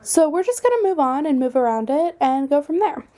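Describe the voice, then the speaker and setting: A young woman hosting a casual podcast, chatty and self-deprecating with lots of fillers, her voice slightly hoarse from a cold. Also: high-pitched, sing-song, fairly quick, mellow. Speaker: podcast host. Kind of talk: casual solo podcast chat.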